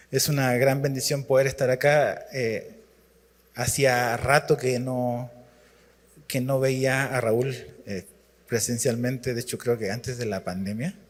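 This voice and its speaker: Man preaching in a reverberant hall. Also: medium pitch. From Chile. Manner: relaxed, halting with frequent fillers and restarts. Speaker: guest preacher. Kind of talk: sermon with scripture reading.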